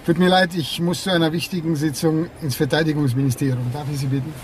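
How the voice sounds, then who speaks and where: An actor playing a powerful, smug arms dealer in a crime radio drama, condescending and self-assured. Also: male voice, a little high, little pitch movement, fast, natural voice. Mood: smug, mocking. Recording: clear background outdoor and vehicle noise.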